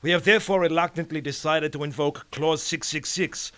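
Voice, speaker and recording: Homme jouant un dirigeant onusien pompeux, voix haute et claironnante. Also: male voice, a little high, steady tone, a little fast, a bit bright, smooth, close to an everyday voice. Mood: grandiose. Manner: grandiose, blustering, cutting others off. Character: pompous, bombastic male United Nations leader chairing a council. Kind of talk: satirical radio serial acting.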